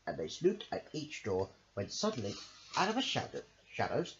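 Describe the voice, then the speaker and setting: A man's voice: medium pitch, huge pitch swings, normal speed, fairly bright tone. A man reading rhyming children's stories aloud in a rhythmic sing-song way, drawing out the ends of phrases. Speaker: amateur storybook reader. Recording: dull lo-fi recording, faint noise.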